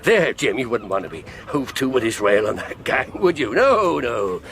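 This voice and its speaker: An actor playing a wily, genial, scheming pirate sea cook in a radio drama. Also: male voice, medium pitch, sing-song, normal speed, a bit bright, some chatter behind. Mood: wheedling, genial.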